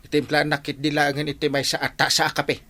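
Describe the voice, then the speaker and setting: A man's voice: on the high side, little pitch movement, quick pace, sharp tone. A man with an Ilocano Filipino accent playing a lazy, grumbling, henpecked village captain in a comedy radio drama. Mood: reluctant, grumbling.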